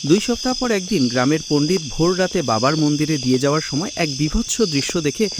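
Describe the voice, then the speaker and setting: A man's voice: fairly high pitch, lively intonation, fairly quick, heavy background noise. A man narrating a scripted horror story for a cartoon.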